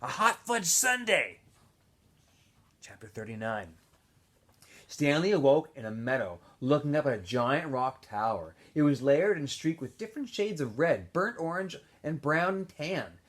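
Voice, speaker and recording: A man, a teacher, reading a novel aloud for students, with expressive delivery, small asides and self-corrections. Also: medium pitch, normal speed. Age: adult.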